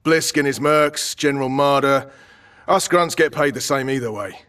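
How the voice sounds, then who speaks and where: An actor playing a blunt, cynical military grunt in a video game. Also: male voice, medium pitch, moderate pace, pushed and bright, recorded from a distance, lightly acted. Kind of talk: video game soldier chatter.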